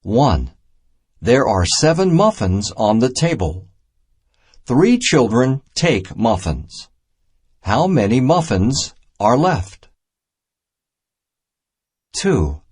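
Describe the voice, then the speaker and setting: Slow, husky man reading a children's lesson. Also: medium pitch, very animated, darkish tone. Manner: deliberate, short separated phrases. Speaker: narrator of a children's math storybook. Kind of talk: scripted read-aloud narration, simple and instructional.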